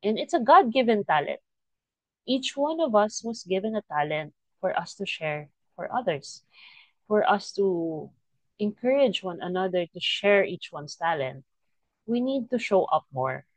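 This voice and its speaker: A middle-aged woman hosting a faith podcast, talking earnestly and encouragingly, often restarting and pausing mid-sentence. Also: medium pitch, lively intonation, dry recording, rough recording. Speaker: podcast host. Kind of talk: reflective faith podcast talk.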